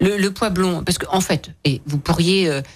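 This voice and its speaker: A woman hosting a radio show in a lively, conversational interview style, chatty and teasing with guests. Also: lowish voice, moderate pace.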